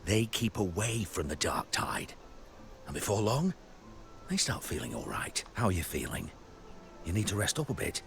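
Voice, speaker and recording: Gravelly old-hand soldier in a game, low, swingy pitch, folksy and skeptical. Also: male voice, wildly swooping pitch, moderate pace, a bit bright, soft background music, full character voice. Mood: skeptical, advising. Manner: folksy, clipped phrasing. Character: weathered male camp veteran, plain-spoken and skeptical. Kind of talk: scripted video game dialogue.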